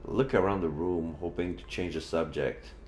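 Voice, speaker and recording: A male streamer with a fairly low voice reads a visual novel aloud. He drops in casual commentary, and the room around him is clearly echoey. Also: normal speed, a little mellow, faint music behind. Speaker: live streamer.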